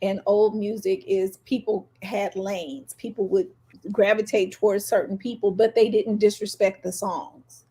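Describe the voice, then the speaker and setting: An American woman co-hosts a casual podcast with a husky, slightly rough voice at a middling female pitch. Her talk is candid and slangy, with emphatic opinions. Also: little pitch movement, measured pace, mellow. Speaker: podcast co-host.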